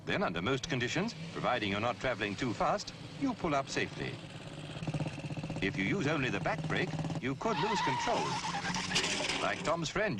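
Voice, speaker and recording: A British man giving an instructive, measured narration in the style of a 1960s public information film, with clear phrasing. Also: medium pitch. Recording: noisy 1960s film soundtrack.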